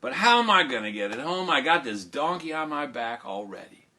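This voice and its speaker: A man as naive, loud young farm lad. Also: fairly high pitch, big pitch swings, fairly quick, voice pushed up, heavily acted. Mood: puzzled. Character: simple, good-natured, obedient young farm lad Jack.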